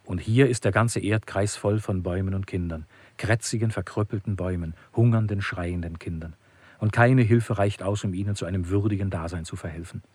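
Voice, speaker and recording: An anguished, bitter thinker in a radio play. His voice is low and husky, recorded very dry. He declaims solemnly and deliberately, weighing hope against despair. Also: a little mellow, dry recording. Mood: bitter, urgent. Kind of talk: literary radio play monologue.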